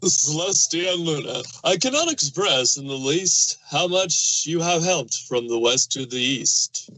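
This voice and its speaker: Man playing a bright-voiced, hearty old Santa Claus. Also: on the high side, lively intonation, measured pace, a little hiss or hum, low-quality recording. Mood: grateful, kindly. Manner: hearty, theatrical storytelling in verse. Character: jolly old fat Santa Claus, kindly and grateful. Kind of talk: rhyming storybook fan fiction reading.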